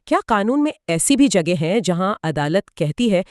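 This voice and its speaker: A woman's voice: medium pitch, very animated, normal speed, no echo, studio quality. A woman co-presenting a scripted explainer dialogue, prompting with questions and summing up points. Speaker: co-presenter asking and summarizing.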